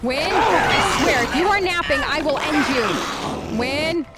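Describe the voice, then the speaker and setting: A woman's voice: high voice, lively intonation, slow, heavy background noise. A woman giving casual, playful let's play commentary, expressively talking to herself and to the game's characters. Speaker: gaming video host. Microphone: close dry voice-over microphone.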